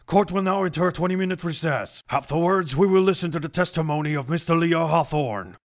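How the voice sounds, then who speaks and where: A man's voice: fairly high pitch, fairly quick, noisy background, close to an everyday voice. A man voicing a stern but easily baffled older judge with formal delivery in a courtroom fan dub. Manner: formal, pronouncing. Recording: music behind the voice.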